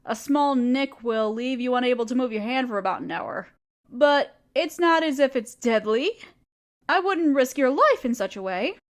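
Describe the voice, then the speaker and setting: A woman voicing a gentle, protective, motherly spider queen in an audio roleplay, speaking softly, patiently and reassuringly. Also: sits high, expressive, normal speed. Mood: tender. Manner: soft, patient, doting and reassuring.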